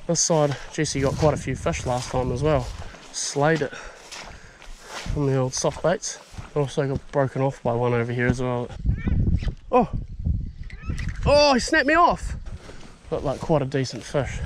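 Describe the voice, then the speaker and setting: A young man with a New Zealand accent giving excited, slangy running commentary while fishing outdoors at a pond, recorded on an action camera. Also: mid-range voice, unhurried, background noise. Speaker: fishing vlogger. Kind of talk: casual outdoor vlog commentary. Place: outdoors by a high country pond. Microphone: action camera mounted on the body.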